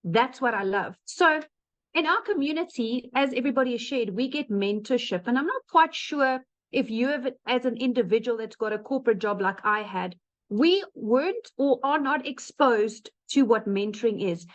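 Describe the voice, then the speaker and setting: A woman's voice: on the high side, expressive, moderate pace. A woman in her late thirties with a South African accent gives an informal, earnest testimonial to an online audience, speaking directly and emphatically to listeners. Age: late 30s. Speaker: webinar speaker, digital marketer. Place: online webinar call. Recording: dull lo-fi online call audio.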